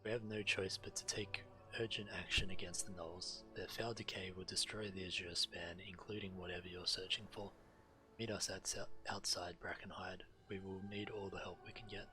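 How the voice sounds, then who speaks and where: An actor playing a grave, urgent walrus-folk investigator in a video game, solemn and full of foreboding. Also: male voice, low-pitched, fairly even intonation, normal speed, music in the background, natural voice.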